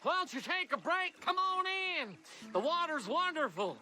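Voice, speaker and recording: A man's voice: extremely high pitch, very animated, normal speed, sharp tone, full character voice. An actor playing a cheery, carefree eccentric man in a video game, calling out exuberantly. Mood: gleeful. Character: cheery, carefree eccentric male swimmer, hollering invitations. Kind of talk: video game cutscene dialogue.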